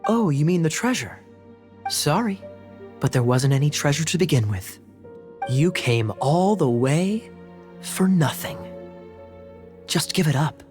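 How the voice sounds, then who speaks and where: A man voicing a suave, teasing young knight captain in a video game, speaking smoothly and playfully. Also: fairly high pitch, expressive, a little slow, long pauses. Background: game music.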